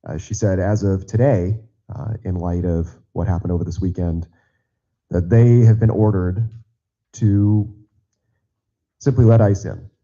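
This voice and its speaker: A man on a party committee who is hoarse from a cold, speaking off the cuff at a public meeting in a large auditorium, halting at first. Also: deep voice, steady tone, mellow, muffled recording. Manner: halting, then rising to conviction. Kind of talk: unplanned remarks at a public meeting.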